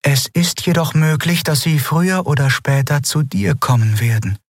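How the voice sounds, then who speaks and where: A man voicing a calm, sorrowful winged alien woman who speaks gently and gravely. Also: a little high, normal speed, no echo, studio quality, voice pushed up.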